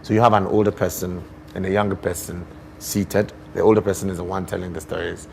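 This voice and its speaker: A Ghanaian man explaining things as a guest in a TV interview, expressive and deliberate, often posing rhetorical questions. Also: low-pitched, creaky, a little hiss or hum. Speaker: guest poet and storyteller.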